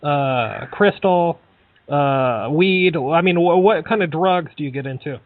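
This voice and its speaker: A man making a deadpan prank phone call, hesitant and stammering as he feigns earnest sincerity. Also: a little high, a little slow, dry recording. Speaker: prank caller.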